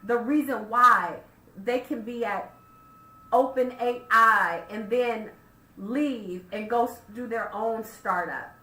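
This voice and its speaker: A woman hosting a radio talk show, talking straight to her listeners in an animated way and firing off rhetorical questions. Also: fairly high pitch, takes it slowly. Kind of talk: casual radio monologue. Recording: roomy echo, faint background noise.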